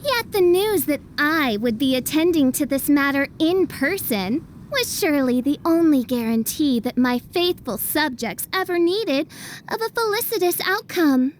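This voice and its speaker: A woman's voice: high voice, expressive, measured pace, slight background noise. A woman voicing a grandiose young self-styled princess in a video game, using archaic, pompous royal speech. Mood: haughty. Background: soft game music.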